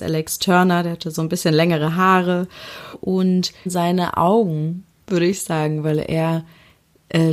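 Young woman, low-ish voice, casual candid storyteller. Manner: candid, amused, with fillers. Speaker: interviewee.

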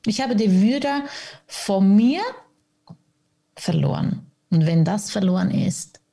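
Woman, interview guest, husky mellow tone, candid and self-mocking. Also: medium pitch, expressive, slow. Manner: open, reflective, self-mocking. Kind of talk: candid personal interview talk.

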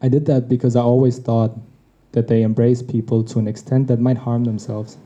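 A man whose first language is Tagalog reads a personal essay aloud, thoughtful and unhurried. His voice is deeply mellow and soft-edged. The recording sounds dull and a little lo-fi. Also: little pitch movement. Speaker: podcast host.

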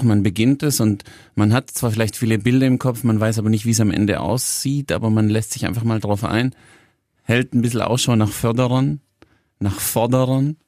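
A man's voice: mid-range voice, warm tone, no room sound, studio quality. A man co-hosting a podcast, reflecting aloud in a loose, conversational way. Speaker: podcast co-host.

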